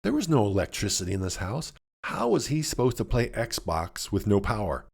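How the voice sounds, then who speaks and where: A man narrating a scripted suspense story, dramatically, with deliberate pauses. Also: fairly low pitch, very animated, gravelly, slightly rough. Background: music.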